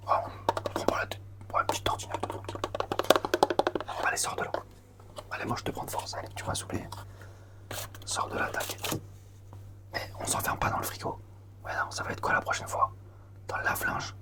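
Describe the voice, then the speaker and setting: A young man whispering softly through a comic roleplay, slangy and teasing.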